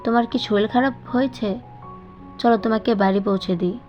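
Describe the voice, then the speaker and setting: A woman voicing a caring older mother figure in an audio story, speaking in a soft, placating, affectionate way. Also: a little high, steady tone, fairly quick, muffled recording, soft background music, lightly acted. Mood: concerned.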